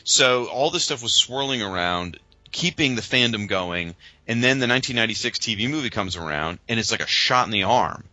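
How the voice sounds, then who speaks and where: Very bright, sharp man. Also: medium pitch, animated, brisk, no echo, poor audio. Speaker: podcast co-host. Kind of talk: casual conversational hosting.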